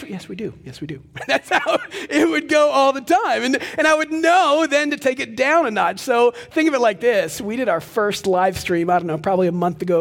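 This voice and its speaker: A young man preaching a sermon as pastor of a church, with a loud, projecting voice and animated storytelling asides. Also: sits high, long reverb tail. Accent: Texan. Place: large church hall with live audience.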